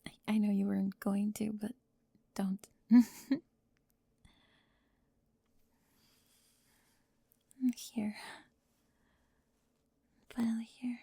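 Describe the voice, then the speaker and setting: A woman playing a talkative, bossy high school girl who gets easily flustered, in an ASMR roleplay with halting speech and breathy drops toward a whisper. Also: little pitch movement, mellow, no echo, exaggerated voice. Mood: tender, flustered.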